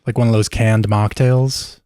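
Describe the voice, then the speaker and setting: A young man, joking around as a podcast co-host, his voice a bit scratchy and hoarse. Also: fairly low pitch, steady tone, unhurried, dark tone, no echo, clean studio sound. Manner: playful, jokey, campy. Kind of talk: casual chat.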